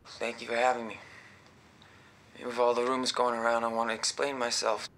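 An actor playing a defensive, anxious, reclusive programmer in a naturalistic drama, earnest and gravelly. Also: male voice, medium pitch, completely flat delivery, a little fast, a bit bright, slight background noise, close to an everyday voice.

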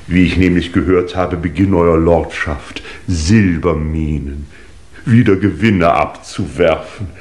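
An actor playing a discreet, deferential adviser to an English lord in a 1950s German radio play, speaking politely and carefully. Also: male voice, low voice, a little slow, darkish tone.

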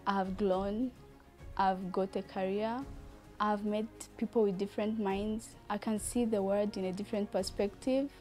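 A woman's voice: medium pitch, steady tone, normal speed, a little mellow, faint music behind. A young woman from Rwanda, a coding student giving a reflective interview testimonial with frequent hesitations, outdoors on a lapel mic. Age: young adult. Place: outdoor terrace.

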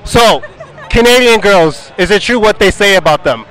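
A man's voice: sits high, lively intonation, normal speed, rough recording. A man hosting a casual, joking street interview outdoors on a handheld microphone, teasing playfully as he goes. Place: outdoors on a busy city street at night. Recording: loud street crowd and traffic noise.

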